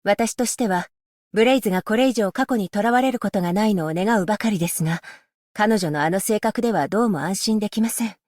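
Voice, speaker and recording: A voice actress playing a stern, dutiful female magistrate-scholar, speaking in a formal, measured and politely stern way for a game's voice lines. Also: mid-range voice, a little mellow, dry recording, clean studio sound, close to an everyday voice. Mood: composed. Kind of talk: game character voice lines. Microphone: close studio mic, dry.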